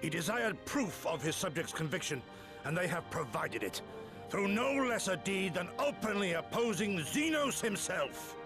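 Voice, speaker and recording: Resolute rebel in a fantasy game, high voice, solemn formal speech, orchestral music clearly behind him. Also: male voice, big pitch swings, moderate pace, poor audio. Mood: earnest, resolute. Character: earnest, resolute male rebel loyalist in fantasy war. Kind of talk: video game dramatic acting.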